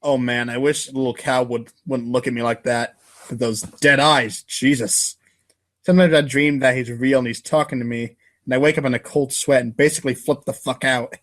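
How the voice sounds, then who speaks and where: A man's voice: medium pitch, fairly even intonation, moderate pace, natural voice. Brief: man as teenage cool kid in a webcomic drama, casual, rambling, slangy, covering nerves, mid-range voice. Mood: uneasy, rambling. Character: aloof slangy teenage boy hiding his nerves.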